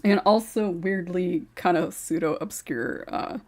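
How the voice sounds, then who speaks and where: Brief: low-voiced woman script writer, chatty and laughing on a podcast. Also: takes it slowly, darkish tone. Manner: chatty, laughs often, many fillers. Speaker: ASMR roleplay script writer. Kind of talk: casual podcast chat.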